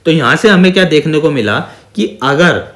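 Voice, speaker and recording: A man's voice: on the high side, expressive, normal speed, fairly bright tone. An Indian man teaching an exam-prep maths lesson step by step in a didactic way, mixing Hindi with English terms and often asking check-in questions. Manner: didactic, with frequent check-in questions and repeated phrases. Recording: echoey, reverberant room sound.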